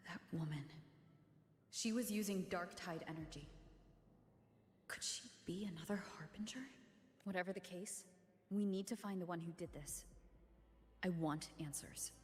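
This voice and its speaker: An actress playing a serious, determined young heroine in a video game, speaking in an earnest, deliberate way. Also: female voice, lowish voice, a little mellow, long reverb tail, a little hiss or hum, natural voice. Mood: shaken, determined.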